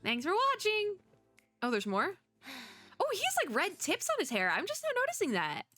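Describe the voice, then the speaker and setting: A woman giving casual, excited reaction commentary as a streamer, rambling, gushing and often cutting herself off. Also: very high-pitched, moderate pace. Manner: rambling, gushing, self-interrupting. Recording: music playing behind the voice. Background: game trailer music.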